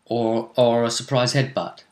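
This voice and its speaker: A man's voice: medium pitch, little pitch movement, unhurried. A man chatting casually about a tabletop game, often rambling and restarting his phrases. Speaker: role-play show host. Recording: echoey room.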